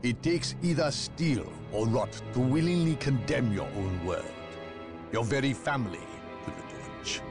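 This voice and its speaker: An actor playing a suspicious male inquisitor in a video game cutscene, speaking in a measured, formal way. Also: mid-range voice, lively intonation, over music. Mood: grave.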